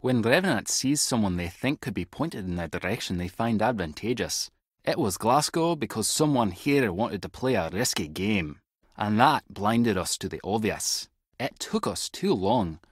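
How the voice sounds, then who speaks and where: A secretive video game spy contact. His voice is slightly husky and swings widely in pitch, with a dry, theatrical edge. The sound is close and dry. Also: animated, normal speed, dry recording. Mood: brisk, guarded. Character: secretive male spy contact, brisk and wry.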